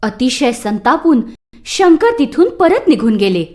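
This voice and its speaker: High, animated woman storyteller. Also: a little slow. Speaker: narrator. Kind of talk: scripted children's mythological storytelling.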